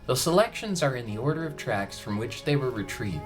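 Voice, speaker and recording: Man narrating with lively, swooping pitch over music. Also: a little fast. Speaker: musician narrating the making of own album. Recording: ambient music behind the voice. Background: ambient background music.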